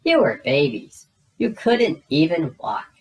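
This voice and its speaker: A woman voicing a gentle, patient mother pig who speaks kindly. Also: low voice, big pitch swings, takes it slowly, exaggerated voice. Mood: fond.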